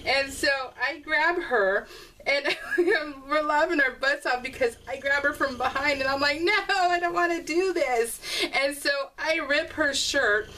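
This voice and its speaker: A middle-aged woman chatting casually and telling animated, giggly stories while she works on a scrapbooking project. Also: high-pitched, measured pace, low-quality recording. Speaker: scrapbooking vlogger. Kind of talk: casual storytelling while crafting.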